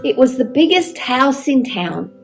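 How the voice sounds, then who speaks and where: High-pitched, animated woman reading a children's story. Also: a little slow, vocal fry, over music. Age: older. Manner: expressive, with exaggerated dialogue. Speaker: storyteller reading a picture book aloud. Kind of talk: children's story reading, addressed to young listeners.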